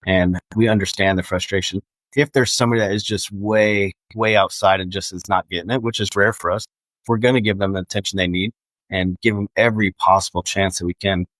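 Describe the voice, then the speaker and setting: A man's voice: low-pitched, fairly even intonation, brisk, a bit bright, dry recording, rough recording. A man speaking as an instructor in a casual interview, repeating phrases for emphasis. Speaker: air traffic control instructor.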